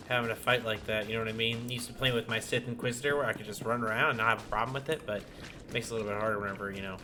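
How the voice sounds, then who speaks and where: A man giving casual gameplay commentary, thinking aloud in a chatty, playful way. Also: on the low side. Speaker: gaming streamer. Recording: clear background game noise.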